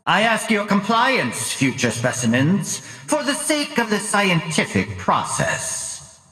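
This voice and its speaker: Theatrical, slow, raspy mad scientist villain. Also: male voice, high-pitched, huge pitch swings, sharp tone, no room sound, heavily acted. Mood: cold, clinical relish. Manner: pompous, drawling, relishing menace with sly chuckles. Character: sinister, gloating middle-aged male mad scientist, obsessed with specimens. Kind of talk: theatrical video game villain acting.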